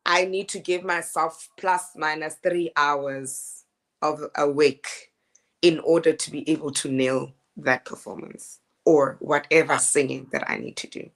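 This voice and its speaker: Woman, deep low speaking voice; animated interview guest, laughs easily. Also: measured pace. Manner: animated, laughing storyteller with frequent restarts. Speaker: opera singer guest. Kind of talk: casual interview chat.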